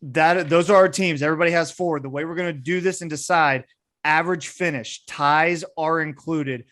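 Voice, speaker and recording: A man's voice: a little high, steady tone, normal speed, fairly bright tone. A man hosting a podcast, chatting casually and bantering with friends. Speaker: podcast host.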